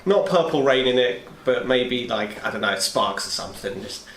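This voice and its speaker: A man's voice: medium pitch, animated, normal speed, fairly bright tone. Male tabletop player, informal and conversational, roomy sound with a little background noise. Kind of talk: casual chat, describing character actions.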